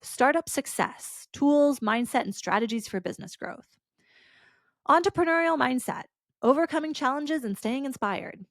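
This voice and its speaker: A woman's voice: sits high, lively intonation, normal speed, dry recording. A woman hosting a solo podcast, talking casually with lots of "like" fillers, her voice stuffy and congested. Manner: chatty, casual, with frequent "like" fillers. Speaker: podcast host.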